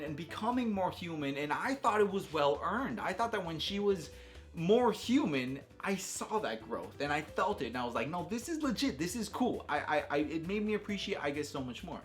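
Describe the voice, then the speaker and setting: Higher-pitched enthusiastic man, casual game commentary. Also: animated, moderate pace, soft background music. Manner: enthusiastic, slangy, with emphatic exclamations. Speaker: YouTube host reviewing a video game.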